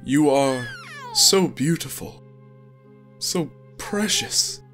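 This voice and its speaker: Ethereal new father, male actor: unhurried, loving delivery, audio drama, soft music underneath. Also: on the high side, lively intonation, full character voice. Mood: tender. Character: tender ethereal being, a devoted new father.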